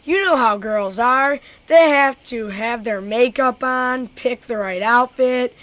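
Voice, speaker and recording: A woman's voice: fairly high pitch, slow pace, a bit bright, dry recording. A young female-sounding performer playing an easygoing, joking boy in an amateur radio drama. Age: child.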